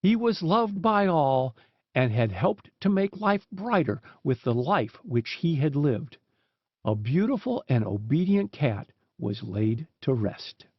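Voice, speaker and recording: A man's voice: on the high side, sing-song, slow pace, breathy edge, no echo. A man reading a short story aloud as an audiobook narrator, in scripted storybook narration on a dull, lo-fi recording.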